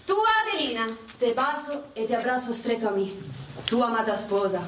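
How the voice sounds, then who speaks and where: A woman's voice: a little high, animated, moderate pace, natural voice. A woman playing devoted Italian wartime wives, reading love letters aloud in a tender, earnest theatrical manner. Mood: tender longing. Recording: echoey, distant, noisy stage recording.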